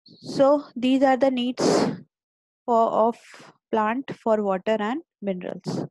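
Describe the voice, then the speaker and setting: A woman's voice: fairly high pitch, takes it slowly, darkish tone, dry recording, poor audio. A woman teacher giving an online biology lecture, explaining step by step and often repeating or restarting words.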